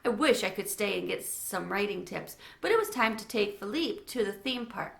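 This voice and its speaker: A woman's voice: mid-range voice, expressive, moderate pace, gravelly. A woman reading a children's storybook aloud as narrator. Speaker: read-aloud reader. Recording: echoey room.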